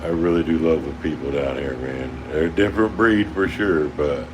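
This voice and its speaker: A man's voice: a bass voice, normal speed. Man with an Oklahoma drawl, laid-back. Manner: rambling, laid-back, chuckles often. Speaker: towboat worker livestreaming. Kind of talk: casual livestream chat with viewers. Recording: steady air conditioner hum behind.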